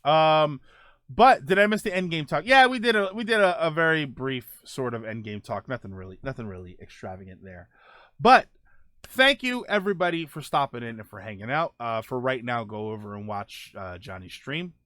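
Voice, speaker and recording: A man's voice: medium pitch, very animated, normal speed, bright, forceful tone, no room sound. A man hosting a casual live-stream show, answering viewer comments in a loose, rambling way with lots of fillers. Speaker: livestream show host.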